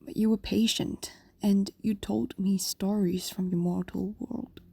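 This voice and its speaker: Girl doing a breathy lovestruck old fox demon lady, whispery and slow, clingy and tender, asmr roleplay. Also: mid-range voice, fairly even intonation, warm tone, dry recording. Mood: wistful, fond. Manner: intimate, slow, pausing, coaxing. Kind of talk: ASMR-like fantasy audio roleplay.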